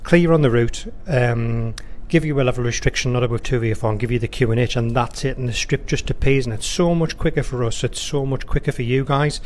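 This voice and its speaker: A man's voice: medium pitch, brisk, few pauses. A man who works as an air traffic controller, giving an informal, conversational instructional walkthrough sprinkled with radio phraseology. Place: indoor control room or workstation, beside a touchscreen.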